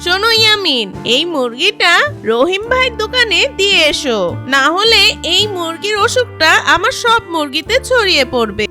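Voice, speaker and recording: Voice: extremely high pitch, very animated, normal speed, bright, forceful tone, faint music behind, exaggerated voice. A performer voicing a practical village woman in a children's cartoon, speaking in a matter-of-fact, instructing way. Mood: brisk, practical. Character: practical village woman, mother or farm owner. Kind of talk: children's moral cartoon dialogue.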